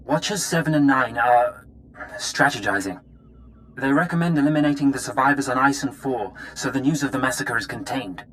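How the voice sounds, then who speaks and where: A man playing a pragmatic intelligence officer in a video game, talking in a brisk, businesslike, urgent way. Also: medium pitch, a bit bright, a little hiss or hum, close to an everyday voice, low-quality recording. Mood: grim, businesslike. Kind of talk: scripted video game dialogue.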